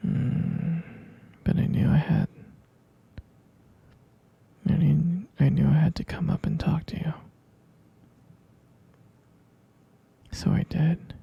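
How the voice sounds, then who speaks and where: A man playing a sleepy, tender young boyfriend in an ASMR roleplay, murmuring soft, hesitant reassurance in a breathy, husky whisper. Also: on the high side, lo-fi sound, exaggerated voice.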